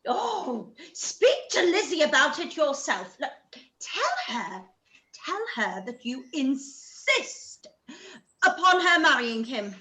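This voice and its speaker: Very shrill, melodramatic fretful mother. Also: sing-song, sharp tone, reverberant, heavily acted, rough recording. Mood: fretful, insistent. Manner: theatrical, overwrought, drawn-out delivery. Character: shrill, melodramatic, fretful middle-aged mother of marriageable daughters. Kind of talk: period drama live-read radio play.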